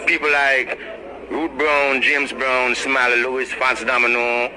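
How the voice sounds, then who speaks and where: A man's voice: medium pitch, level tone, a little slow. A man from Jamaica with a Jamaican accent, reminiscing casually in a conversational way. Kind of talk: casual reminiscing about music. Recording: noisy background ambience.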